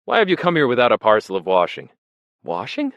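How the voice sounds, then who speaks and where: A man voicing a fussy, unshaven Russian organizer who talks in a brisk, scolding way. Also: low-pitched, dry recording, lo-fi sound, natural voice.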